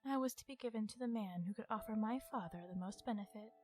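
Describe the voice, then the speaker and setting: A woman voicing a centuries-old vampire in an ASMR roleplay, stern, irritated and possessive, with a measured, formal and often hushed delivery. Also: on the high side, faint music behind, lightly acted. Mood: stern, composed.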